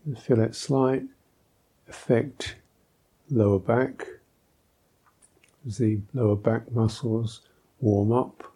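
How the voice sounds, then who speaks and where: A man teaching guided meditation as a monk, speaking in a gentle, thoughtful way with long pauses between phrases. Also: mid-range voice, fairly even intonation, slightly rough.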